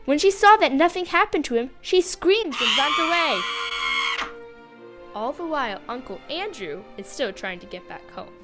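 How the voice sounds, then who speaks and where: A young female narrator reading a scripted fantasy story aloud with expressive storybook delivery. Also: high voice, moderate pace, over loud music.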